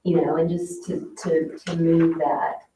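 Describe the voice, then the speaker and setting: A woman's voice: low voice, flat delivery, measured pace. A woman talking informally as a participant in a committee meeting. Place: meeting room, mic at a distance. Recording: echoey room, distant mic, faint noise.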